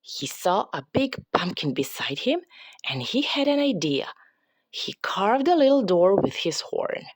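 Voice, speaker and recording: A woman narrates a picture book for children. She takes her time, with very animated intonation and a dramatic touch. The sound is close and dry. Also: medium pitch, unhurried, no room sound. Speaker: storybook reader. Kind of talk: expressive children's read-aloud narration.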